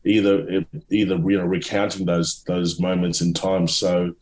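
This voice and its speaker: A deep-voiced middle-aged man speaks haltingly, circling back and restarting his sentences. His voice carries a noticeable room echo. He sounds like a guest giving long answers in a casual interview. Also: level tone, darkish tone. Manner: halting, many restarts and fillers. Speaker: author and guest.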